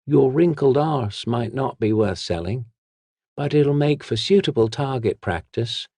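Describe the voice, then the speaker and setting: A man's voice: mid-range voice, animated, unhurried, warm tone, breathy edge, no echo, voice pushed up. A man voicing a menacing female body snatcher who speaks in a rattling, hissing whisper.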